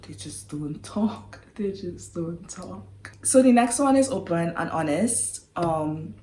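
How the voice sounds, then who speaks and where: A woman's voice: fairly low pitch, lively intonation, slow, warm tone. Chatty Trinidadian woman in a roomy space. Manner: chatty, giggly, breaks off with sighs and apologies. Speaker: vlogger. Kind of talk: casual chat to camera. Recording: roomy echo.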